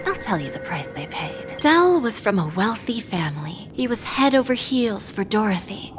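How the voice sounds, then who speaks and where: An actress playing a calm, calculating female pawnbroker in a video game, speaking softly in a measured, polite and quietly menacing way. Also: medium pitch, natural voice. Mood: coolly ominous. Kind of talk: scripted video game dialogue. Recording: game audio with music behind.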